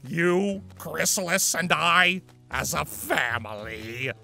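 A performer voicing an ancient male centaur villain in a cartoon story, lovesick and doting, gravelly and theatrical. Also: mid-range voice, animated, slow, sharp tone, faint music behind, full character voice. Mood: cheerful, doting. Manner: theatrical, syrupy, grandiose. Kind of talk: cartoon fan fiction voice acting.

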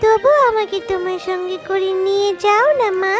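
A tiny, extremely high little-girl fox voice put on by a woman for a children's cartoon. The child is sweet and eager, coaxing and begging like a small daughter. Music runs underneath. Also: level tone, normal speed. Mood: wheedling. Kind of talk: Bengali children's cartoon dubbing.

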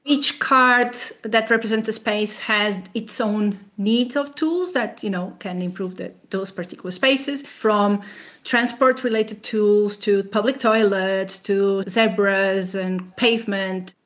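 A woman's voice: mid-range voice, slow pace, a bit bright. A woman researcher giving explanatory answers in an interview. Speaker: academic researcher being interviewed.

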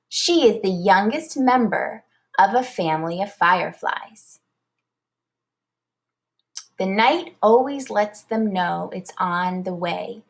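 A woman reading a children's storybook aloud like a teacher, expressive and deliberate. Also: mid-range voice.

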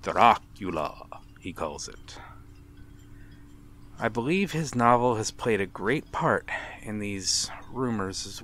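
A man playing a brooding, courteous vampire with quiet menace in a soft-spoken, theatrical ASMR roleplay, dropping into whispers. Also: fairly low pitch, a little hiss or hum. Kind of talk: ASMR roleplay, dramatic monologue.

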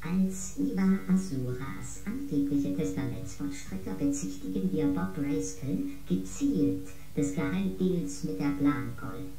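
An actress playing a sharp, businesslike investigator in a science-fiction radio play, with a formal, questioning manner. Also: female voice, on the low side, sing-song, measured pace, hardly any pauses. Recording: roomy sound with loud guitar music.

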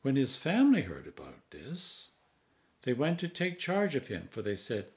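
A man in his sixties, a priest, reading scripture aloud in a measured, solemn manner with deliberate phrasing. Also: medium pitch, wildly swooping pitch, darkish tone. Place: home study, indoors.

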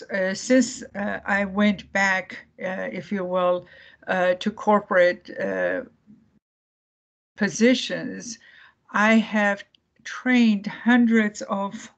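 A woman from Iran giving a presentation over a video call, speaking haltingly with many fillers and restarts. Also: mid-range voice, vocal fry, muffled recording. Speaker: guest speaker.

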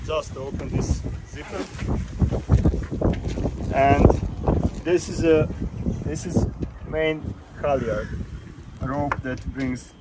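Man on a windy boat deck. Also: on the high side. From Croatia. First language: Croatian. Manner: plain, step-by-step instructions with restarts while handling gear. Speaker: yacht charter guide. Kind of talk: hands-on boat tutorial. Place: outdoors on a sailboat deck in a marina. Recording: loud wind noise on outdoor mic.

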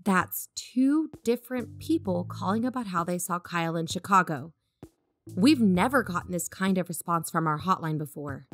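A woman playing a careful, analytical investigative podcaster in an audio drama, reasoning in a measured way. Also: lowish voice, animated, soft background music. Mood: measured, wary. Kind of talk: audio drama dialogue and narration.

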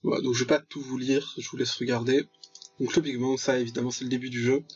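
A man's voice: medium pitch, level tone, quick pace. A man giving casual, joking gameplay commentary as the host of a gaming video. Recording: dull, lo-fi mic, music behind. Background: game music.

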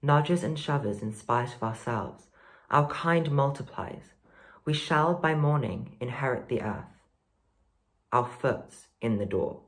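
Young man, poetry recitation, unhurried pace, deliberate phrasing, medium pitch. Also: expressive, a bit bright. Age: teens to young adult. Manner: measured, deliberate. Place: plain indoor room.

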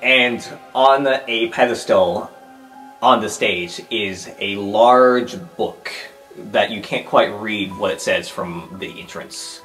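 A man's voice: on the low side, slow, fairly bright tone. A man narrating a tabletop roleplay podcast as its game master. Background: background music.

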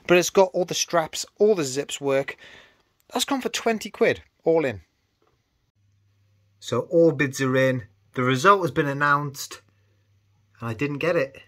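Chatty young man, slightly high voice. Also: fairly bright tone. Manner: chatty and upbeat, walking through sales. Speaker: eBay reseller vlogger. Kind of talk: casual talk to camera. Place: indoor storage room lined with toy shelves.

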